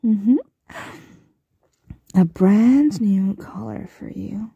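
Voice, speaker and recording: A woman playing a possessive, smugly menacing mistress in an ASMR roleplay, breathy and often whispering, with a teasing, condescending coo. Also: mid-range voice, mellow, no room sound, muffled recording, heavily acted. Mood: playful, pleased. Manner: teasing, condescending, drawn-out cooing.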